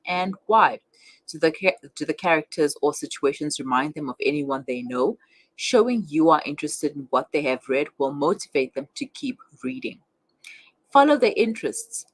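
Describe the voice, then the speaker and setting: A woman hosting a radio talk show, speaking in a somewhat hesitant, halting way. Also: sits low, animated, a bit bright, no echo. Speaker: radio host.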